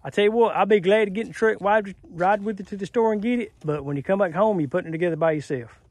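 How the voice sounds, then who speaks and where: Folksy Southern man, higher voice. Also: fast, darkish tone, no echo. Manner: folksy, rambling. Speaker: homesteader. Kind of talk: casual vlog talk.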